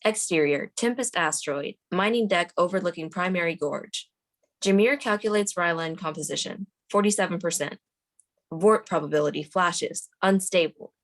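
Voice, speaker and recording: A woman's voice: lowish voice, normal speed. A woman reading scripted stage directions aloud as the narrator of a screenplay table read.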